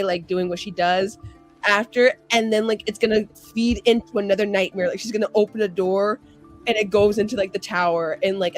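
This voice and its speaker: A woman in casual post-game chat as a tabletop roleplayer, talking in slangy, profane bursts with theatrical, gleeful relish for scheming. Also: medium pitch. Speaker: player in a tabletop roleplaying show.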